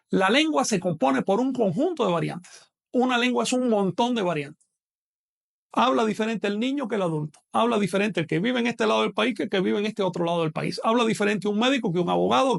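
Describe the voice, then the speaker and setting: A man with a non-Mexican Spanish accent gives an online language lesson. His voice sits high and carries a gravelly rasp. He explains step by step and often checks in with his listeners. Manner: explanatory, addresses students directly with check-in questions. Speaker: language teacher. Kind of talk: online lecture.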